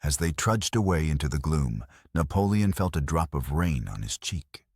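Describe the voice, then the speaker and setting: Audiobook narrator: man with a rumbling deep, slightly rough voice, grave dramatic delivery of scripted fiction. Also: big pitch swings, normal speed, a little mellow, no echo, clean studio sound.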